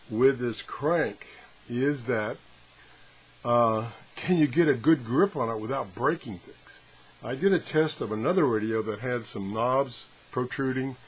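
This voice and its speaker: A man talking casually and off the cuff as he tests a product, thinking aloud with a self-deprecating, hands-on manner. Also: medium pitch. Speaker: product reviewer. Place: home workshop, indoors. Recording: clearly noisy workshop recording.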